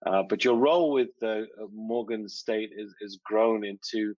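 Hesitant, low-voiced podcast host. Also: male voice, measured pace, a little mellow, dry recording, muffled recording. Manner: rambling, hesitant, friendly. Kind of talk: conversational interview.

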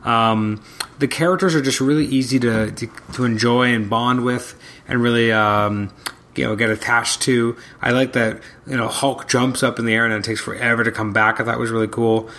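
A man's voice: medium pitch, steady tone, normal speed, sharp tone. A man hosting his own podcast, talking casually and hesitantly while fighting a cold and losing his voice. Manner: casual, hesitant with many ums. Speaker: podcast host. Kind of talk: solo comic review podcast.

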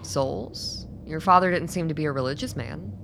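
Female actor as measured, probing middle-aged doctor, radio play, low voice, clear background noise. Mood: clinical, probing. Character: composed, tough late-forties female doctor with hidden agenda.

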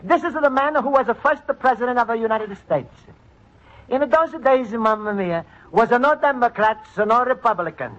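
A man's voice: very high voice, expressive, moderate pace, a bit bright. A man playing a good-natured Italian immigrant in an old radio comedy, speaking earnest, simple broken English with an Italian accent. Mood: earnest, wistful. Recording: noisy, dull vintage radio recording.

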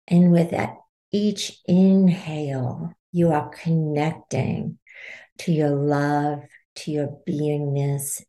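A woman leading a guided meditation as a podcast host, giving soothing, unhurried instructions. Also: sits low, mellow.

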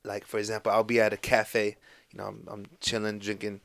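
A man's voice: lowish voice, completely flat delivery, brisk, creaky, fairly bright tone, no echo. Laid-back American man telling stories. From United States. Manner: laid-back storytelling with lots of fillers and restarts. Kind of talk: casual podcast conversation.

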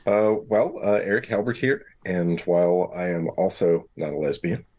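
A man's voice: low voice, normal speed, a little mellow. A man chatting casually as a player on a tabletop roleplaying podcast. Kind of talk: casual chat.

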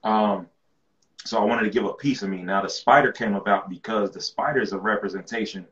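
Man from with a low, deep voice, relaxed slangy guest; muffled lo-fi sound in an echoey room. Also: fairly quick. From rural North Carolina. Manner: laid-back, slangy, repeats words as he restarts. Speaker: guest rapper. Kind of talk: casual interview chat.

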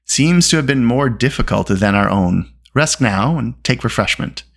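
A reader acting a calm, grave peacemaker man. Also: mid-range voice, creaky. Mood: gentle. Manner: measured, gently reproving.

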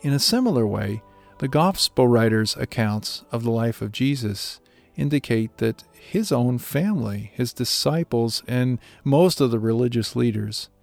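A man with a mid-range voice delivers a scripted scripture reflection. He speaks in a measured, explanatory way, with faint music behind him. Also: medium pitch, animated, creaky, darkish tone. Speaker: devotional speaker.

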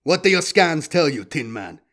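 A man's voice: on the high side, big pitch swings, fairly bright tone, voice pushed up. Man playing a menacing, sardonic bounty hunter. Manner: taunting, deliberate. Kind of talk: audiobook character voice.

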